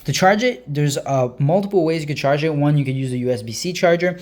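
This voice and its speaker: A man giving a casual hands-on product review as a video host, explaining informally with frequent hesitant uh pauses. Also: on the high side, darkish tone.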